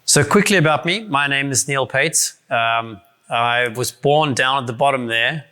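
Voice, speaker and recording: South african guy in his 40s giving a conference talk, bright voice, lots of ums and restarts, bit hoarse. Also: medium pitch, a little slow. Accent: South African, softened by years abroad. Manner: casual, many restarts and fillers, self-deprecating. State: voice strained from shouting the night before. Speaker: conference speaker. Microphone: handheld or stand mic.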